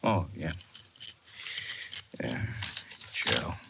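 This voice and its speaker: An American man playing a laconic police investigator in a 1940s radio drama, clipped, downbeat and matter-of-fact. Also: extremely slow. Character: laconic male police investigator giving reports. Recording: dull, noisy vintage 1940s radio recording.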